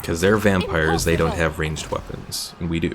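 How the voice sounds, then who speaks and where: Male streamer, low creaky voice, casual thinking-aloud commentary on a game, music underneath. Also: fairly even intonation, moderate pace.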